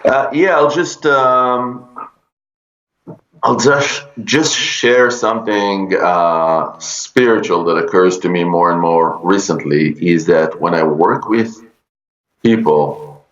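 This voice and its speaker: Casting: middle-aged Israeli man, very low voice, slow and halting, echoey room on lo-fi audio. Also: fairly bright tone. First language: Hebrew. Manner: halting, many fillers and restarts. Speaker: guest, energy healer. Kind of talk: podcast interview.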